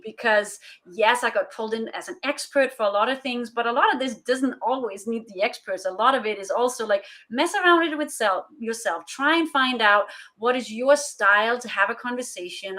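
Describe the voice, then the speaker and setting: A woman with a Danish accent speaks as an interview guest, her voice sitting a little high. She talks in a thoughtful, unhurried way, often pausing with "uh" as she builds her points. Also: fairly bright tone. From Denmark. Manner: thoughtful, many filler "uh"s. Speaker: guest, remote collaboration consultant. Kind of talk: conversational interview answers.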